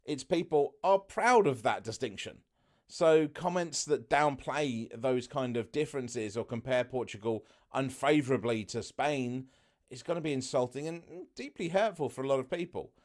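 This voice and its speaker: A man with a naturally loud, mid-pitched voice chats straight to camera. His talk is loose and unscripted, with plenty of "um"s and side remarks, like a travel vlogger giving tips. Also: lively intonation, moderate pace. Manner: chatty, loose, full of fillers and asides.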